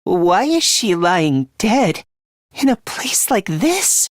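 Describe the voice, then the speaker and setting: A man's voice: high voice, sing-song, slow, no room sound, studio quality, full character voice. A man voicing a timid, self-loathing young male academy fighter in a video game, hesitant and prone to stammering. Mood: mournful, self-loathing.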